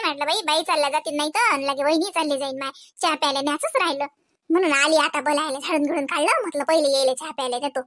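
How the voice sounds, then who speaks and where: A woman's voice: very high-pitched, bright, forceful tone, no echo, studio quality, exaggerated voice. A woman playing a gossipy, complaining cartoon village housewife who chatters colloquially in a Varhadi Marathi accent. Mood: chatty. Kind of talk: comic cartoon village dialogue.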